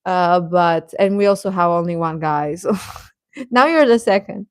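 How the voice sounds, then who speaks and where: Low-voiced woman chatting casually, close and dry. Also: measured pace, no echo. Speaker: live stream host. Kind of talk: casual chat with live stream viewers.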